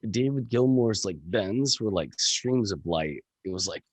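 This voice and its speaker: A man with a gravelly voice, a musician chatting casually as a podcast guest, rambling with lots of fillers and swearing. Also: lowish voice, expressive, a little slow, darkish tone, no room sound.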